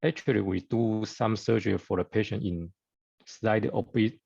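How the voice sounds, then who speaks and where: A man from Taiwan, giving a conference talk over a video call in halting English with many fillers and restarts. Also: lowish voice, fairly even intonation, vocal fry, darkish tone, breathy edge, no echo, dull audio. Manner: halting, hesitant with many fillers and broken grammar. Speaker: guest speaker surgeon.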